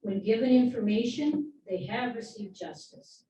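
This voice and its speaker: A middle-aged woman from Michigan giving an earnest, steady public comment at a community meeting. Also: fairly high pitch, moderate pace, muffled recording. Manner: earnest, steady advocacy speech. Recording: echoey room, far from the mic, faint noise.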